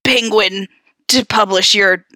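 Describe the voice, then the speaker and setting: A woman's voice: medium pitch, steady tone, slow, pushed and bright, no echo, studio quality. A woman co-hosting a podcast in casual chat, talking expressively and emphatically with plenty of slang. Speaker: podcast co-host.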